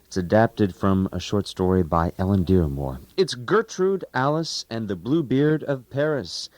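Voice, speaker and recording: A man's voice: lowish voice, expressive, a little slow, darkish tone, no room sound. A man hosting a radio show, reading a scripted introduction to the programme.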